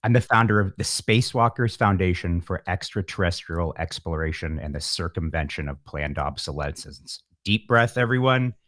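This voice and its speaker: Deep-voiced reflective man. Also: expressive, moderate pace, few pauses, a bit bright, dry recording, studio quality. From San Francisco Bay Area, California. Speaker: guest, musician. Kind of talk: casual interview talk. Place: home setup, remote call.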